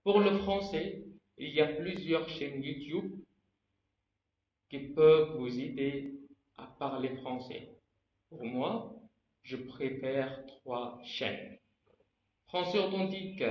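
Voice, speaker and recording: A man in his twenties from Egypt, a native Arabic speaker with an Egyptian Arabic accent, explaining things in a measured, teacherly way as a channel host. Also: fairly high pitch. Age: 20s. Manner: slow, deliberate, teacherly, listing points. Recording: echoey room, slightly dull sound.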